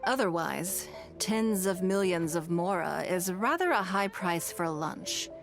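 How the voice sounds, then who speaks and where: Low-voiced, elegant, shrewd businesswoman. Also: lively intonation. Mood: poised, self-assured. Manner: composed, measured, refined. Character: elegant, shrewd, wealthy businesswoman with a teasing, gracious poise. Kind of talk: scripted video game character dialogue. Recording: music behind the voice.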